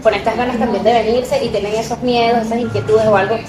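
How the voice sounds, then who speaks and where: A woman's voice: fairly high pitch, fairly even intonation, fast talker, echoey room. Venezuelan woman hosting a podcast. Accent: Venezuelan Spanish. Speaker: podcast host. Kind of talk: casual interview.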